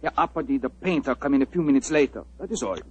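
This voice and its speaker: A man's voice: mid-range voice, lively intonation, quick pace, darkish tone, husky. A man playing an obliging, deferential apartment-house porter in a 1940s radio drama, with a German accent and a matter-of-fact way of talking. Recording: faintly noisy 1940s radio recording.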